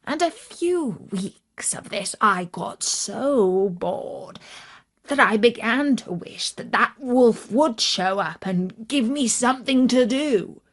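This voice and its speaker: A British woman narrating a scripted children's story in a measured, expressive storytelling manner. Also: on the high side.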